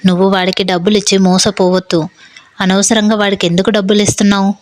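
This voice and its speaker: A woman's voice: mid-range voice, flat delivery, quick pace, lightly acted. A woman voicing a weary, scolding older mother-in-law in an acted moral story. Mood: scolding, resigned. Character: exasperated older mother-in-law scolding her business-mad son.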